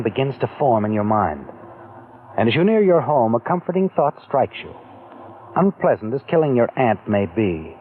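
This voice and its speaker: A man narrating a radio mystery in the second person, teasing the listener with insinuating questions. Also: medium pitch. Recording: tinny old radio broadcast.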